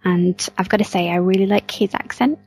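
The woman on a friends' podcast panel, a little husky, chatting casually as she brings in the listener comments. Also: medium pitch, brisk, a little mellow, no echo. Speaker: podcast co-host. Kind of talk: casual chat.

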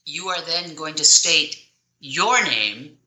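A woman with a low speaking voice that still cuts through brightly. She coaches on public speaking in an emphatic, deliberate way, leaning on pauses and changes of tone. The recording has an echoey room around her. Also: lively intonation, slight background noise. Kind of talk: interview answers, instructive.

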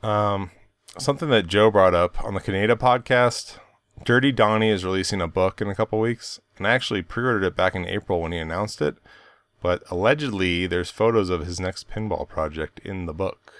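A man's voice: low voice, a little fast, fairly bright tone, no echo. A man with a nasal voice co-hosting a casual podcast, talking in a laid-back, wry way. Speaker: podcast co-host. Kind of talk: casual chat.

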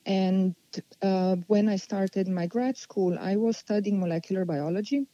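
A woman, answering interview questions unhurriedly and pausing as she thinks. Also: medium pitch, fairly even intonation, warm tone, no echo, highs cut off. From Slovenia. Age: 40s. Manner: unhurried, thoughtful, with many pauses and restarts. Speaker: guest.

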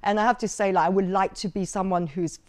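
A woman's voice: mid-range voice, steady tone, fast talker, darkish tone. A woman guest in a casual interview, chatty, with frequent fillers and easy laughter.

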